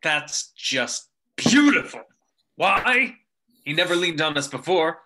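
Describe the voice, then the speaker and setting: An aging crime boss from an animated film, played by a man in a table read, speaks in a bright, forceful voice. He is sardonic and agitated, and his pitch leaps about as he protests indignantly. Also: slow pace, full character voice. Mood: agitated, bitter.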